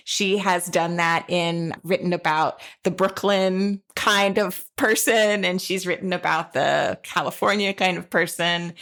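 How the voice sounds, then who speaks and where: A woman's voice: lowish voice, creaky, a bit bright. A woman co-hosting a literary podcast, chatting casually and with enthusiasm and appreciation. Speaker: podcast co-host and author.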